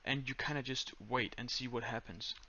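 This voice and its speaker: A young man with a Danish accent giving a step-by-step screen-recorded tutorial in a flat, monotone voice full of "uh"s and "um"s. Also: mid-range voice, moderate pace, muffled recording. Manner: monotone, many fillers. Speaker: tutorial creator.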